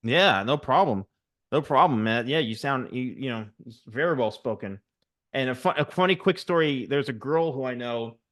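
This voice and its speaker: A man in his forties, hosting an interview and telling stories in a chatty, animated way. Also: mid-range voice, normal speed. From United States. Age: 40s. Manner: chatty, animated storyteller, many fillers. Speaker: host, barber coach. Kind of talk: casual interview chat.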